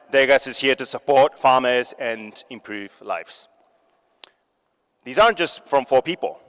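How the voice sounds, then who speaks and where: A man from Japan giving a startup competition pitch, upbeat and joking with the audience. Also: fairly bright tone, clean tone. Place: large hall. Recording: long hall reverb.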